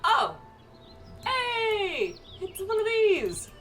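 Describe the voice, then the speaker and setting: A woman streaming a game with casual, animated, playful commentary among friends. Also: very high-pitched, extremely slow, faint music behind. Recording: faint background noise.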